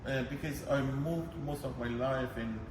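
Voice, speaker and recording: A man from Iran, a food critic guest on a podcast, speaking haltingly with many restarts and fillers. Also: medium pitch, background noise. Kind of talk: podcast interview. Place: studio in Dubai. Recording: echoey room, mic at a distance, music underneath.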